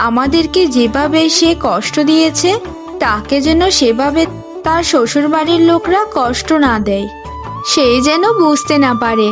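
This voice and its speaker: A woman's voice: high-pitched, natural voice. A woman playing a hurt, pious young sister-in-law in a cartoon family drama, earnest and lamenting. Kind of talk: melodramatic Bengali cartoon dialogue. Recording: clear music behind the voice.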